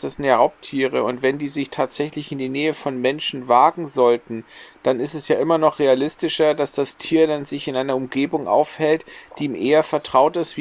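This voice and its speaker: A man co-hosting a podcast in casual discussion, arguing his points and reasoning things through. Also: mid-range voice. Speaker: podcast co-host.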